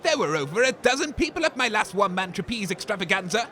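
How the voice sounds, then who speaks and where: Man playing a grumpy, pompous, high-voiced radio co-host. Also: big pitch swings, fast talker, pushed and bright, soft background music, full character voice. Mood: pompous, indignant. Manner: pompous, scornful, grumbling. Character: grumpy, self-important, sneering male radio co-host. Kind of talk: comic scripted commentary.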